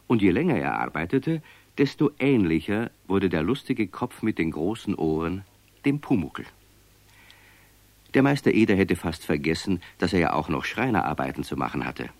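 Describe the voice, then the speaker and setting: A man narrating a children's radio play as a genial storyteller, in an expressive, measured way. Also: low voice, no room sound. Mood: genial, amused.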